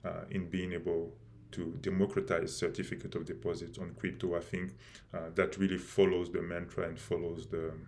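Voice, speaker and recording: Low gravelly guy hosting a live stream, lots of uhs and restarts, losing his voice some. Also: flat delivery, darkish tone, a little hiss or hum. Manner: halting, many fillers and restarts. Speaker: crypto project host.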